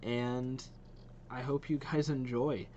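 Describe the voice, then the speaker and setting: Male reaction vlogger: mid-pitched, slow-paced, informal and enthusiastic, slightly noisy recording. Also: steady tone, dark tone, dull audio. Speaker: video host reacting to game trailers. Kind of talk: casual reaction vlog chat.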